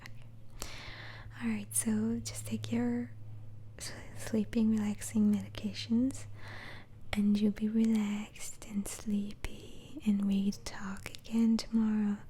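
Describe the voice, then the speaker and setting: A woman whispering softly in a slow, hesitant, soothing ASMR roleplay as a gentle, reassuring doctor. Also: mid-range voice, level tone, slight background noise, rough recording. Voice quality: soft, breathy whisper.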